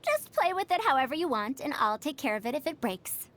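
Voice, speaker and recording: A woman voicing a knowledgeable, slightly pompous female inventor in a video game, with a kindly elder's mock-stern, expressive manner. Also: high-pitched, a little fast. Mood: proud, kindly. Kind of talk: video game character voice lines.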